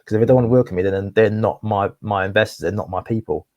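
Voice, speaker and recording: A man's voice: fairly low pitch, fast, no room sound, muffled recording. A young man from Wales, a property investor, talking candidly and at length in an interview, often repeating words. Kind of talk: casual interview conversation.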